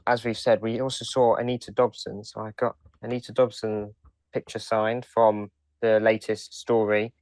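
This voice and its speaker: A man with a fairly low voice chats as a podcast co-host. His delivery is casual and conversational, and the sound is very dry, with no room echo. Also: level tone, moderate pace, darkish tone. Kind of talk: casual chat.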